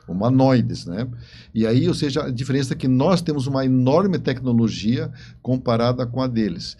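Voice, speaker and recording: A man, middle-aged, with a southern Brazilian accent, telling stories conversationally in a halting way, repeating words as he goes. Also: mid-range voice, dark tone, a little hiss or hum. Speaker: interview guest, writer. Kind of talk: conversational storytelling.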